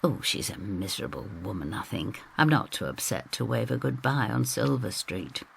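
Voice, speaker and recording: A woman's voice: a bass voice, big pitch swings, normal speed, vocal fry, a little hiss or hum, exaggerated voice. An actress playing a stubborn, loyal, chatty older Northern English woman who tells her story in an audio drama. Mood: wry, confiding. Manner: chatty, gossipy, blunt Northern phrasing.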